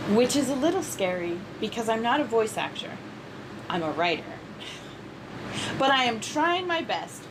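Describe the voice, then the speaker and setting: A woman writer speaking carefully and deliberately, like an amateur reading her own work aloud. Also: fairly high pitch. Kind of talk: amateur announcement and audiobook narration. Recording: clear steady background noise.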